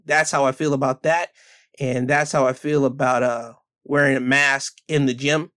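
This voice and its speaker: A man's voice: medium pitch, steady tone, moderate pace, a bit bright, clear, resonant tone. A man hosting a casual solo podcast, talking loosely with restarts and self-corrections, then reading news articles aloud. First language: English. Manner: rambling, self-correcting, chatty. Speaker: podcast host.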